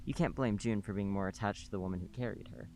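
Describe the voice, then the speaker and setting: An actor playing a kind neighbor who reassures and gently persuades. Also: male voice, lowish voice, fast, vocal fry, slight background noise. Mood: reassuring. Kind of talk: radio play acting.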